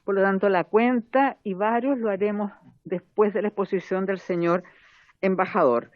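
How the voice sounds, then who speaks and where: A woman's voice: mid-range voice, normal speed, no room sound. Lady chairing a committee, formal but loose, dry muffled desk mic. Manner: formal, with brief relaxed asides. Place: parliamentary committee room. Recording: dull, muffled committee audio.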